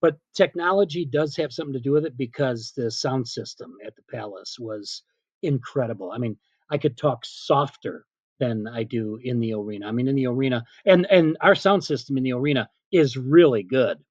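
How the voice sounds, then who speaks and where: A middle-aged man, a PA announcer being interviewed, telling casual anecdotes in an animated way and quoting remembered dialogue. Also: mid-range voice, normal speed, no echo, highs cut off. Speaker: stadium PA announcer, interview guest.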